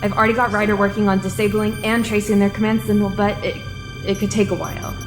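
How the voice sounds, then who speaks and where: A woman's voice: mid-range voice, level tone, fast talker, close to an everyday voice. A woman playing a young fleet science officer briefing urgently and methodically in a sci-fi audio drama. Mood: tense, focused. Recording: clear music bed behind.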